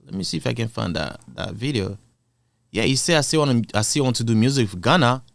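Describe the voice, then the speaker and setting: A man's voice: medium pitch, expressive, quick pace, gravelly, no echo. A man with an African accent hosting a casual commentary channel, reacting to clips and thinking aloud with many hesitations.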